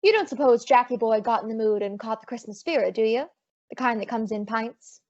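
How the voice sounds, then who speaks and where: A woman's voice: fairly high pitch, a little fast, darkish tone, lightly acted. A woman playing a breezy, wisecracking private eye, narrating and acting in an old-time radio detective show. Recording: dull lo-fi audio.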